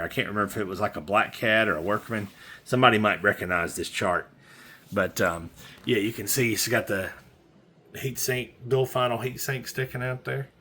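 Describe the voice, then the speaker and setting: A man's voice: lowish voice, bright, forceful tone. A man giving a casual, hands-on product demo, talking informally and in a rambling way as a seller. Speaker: seller demonstrating used radio gear. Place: indoors at a desk with radio equipment. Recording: faint background noise.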